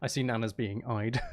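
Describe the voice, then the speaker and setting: A man's voice: mid-range voice, a little mellow. A man hosting a live game stream, chatting casually and playfully to his viewers and to himself. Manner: playful, talks to chat and to himself. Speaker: streamer and channel host.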